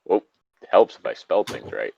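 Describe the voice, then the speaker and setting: A man's voice: sits low, big pitch swings, moderate pace, gravelly, warm tone, dull audio. A man reading a comic story casually, breaking off into jokey asides and banter. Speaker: co-reader of an internet story.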